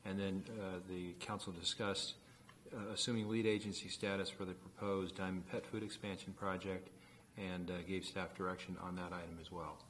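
A man's voice: low voice, flat delivery, normal speed, a bit bright. A man giving a formal, matter-of-fact report to a council as a city official. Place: large council chamber. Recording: faint room noise.

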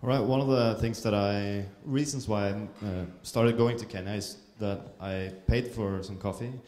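Low-voiced guy asking the panel a question, lots of uhs, echoey. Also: fairly even intonation, moderate pace, darkish tone. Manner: hesitant, many fillers. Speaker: coffee buyer asking a question. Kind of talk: audience question. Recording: echoey conference hall.

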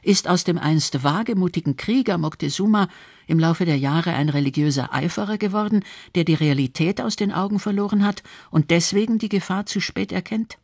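A woman's voice: fairly low pitch, a little fast, a little mellow, no room sound, clean studio sound. A woman narrating a scripted radio documentary.

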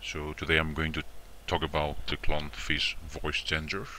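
Very deep-voiced man giving a software tutorial. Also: completely flat delivery, normal speed, a little hiss or hum. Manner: repetitive, instructional, often checking with "all right" and "okay". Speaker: tutorial presenter. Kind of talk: informal screen-recorded software tutorial.

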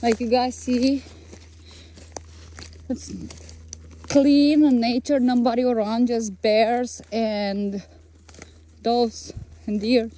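A woman's voice: a little high, level tone, very slow, long pauses, warm tone, slight background noise. A woman co-hosting an outdoor walking tour of a tree farm, chatty and enthusiastic, with loose, non-standard phrasing. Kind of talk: casual walking tour vlog.

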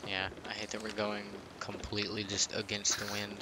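A man's voice: on the low side, completely flat delivery, normal speed. A man in casual gaming chat with a friend, joking and swearing freely. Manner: profane, joking. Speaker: gamer playing with a friend. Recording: background game noise of sea and boat.

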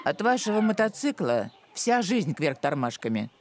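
A woman's voice: low-pitched, very animated, moderate pace. A woman playing an exasperated, no-nonsense village woman in a cartoon, decisive and theatrical. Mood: firm, relieved. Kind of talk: animated cartoon acting. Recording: music behind the voice.

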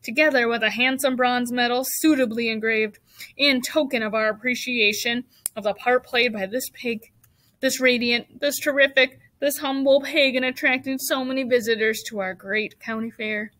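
A woman voicing a formal, booming male fairground announcer in a storybook, with a pompous, declamatory delivery. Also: steady tone, moderate pace, fairly bright tone, lightly acted. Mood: grand, ceremonious. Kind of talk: storybook character read aloud.

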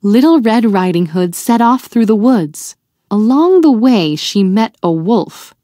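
A woman narrates a fairy tale for small children. She reads slowly and expressively, with very lively pitch. The sound is dry. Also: dry recording. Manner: deliberate, expressive reading for young children. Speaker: children's storyteller.

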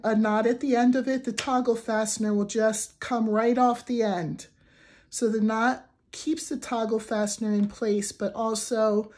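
A young adult woman with a raspy voice from the flu, casually talking through a crochet tutorial at a table, chatty with fillers and restarts. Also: medium pitch, darkish tone. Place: indoors at a wooden table. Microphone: camera over a tabletop, hands only.

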